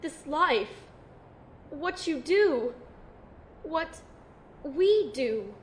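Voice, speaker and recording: A woman playing a wounded, frightened young woman in an amateur audio drama, speaking haltingly, weary and vulnerable. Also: high voice, animated, a little hiss or hum, exaggerated voice. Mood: weary, sad. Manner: halting, hesitant delivery. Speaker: voice actor playing narrator and lead character.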